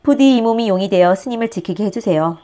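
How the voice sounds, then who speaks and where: A shy young maiden in love, voiced by a woman storyteller, pleads politely and earnestly. It is a storybook heroine's voice, devoted and very expressive. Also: mid-range voice, fast, natural voice. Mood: earnest wishing.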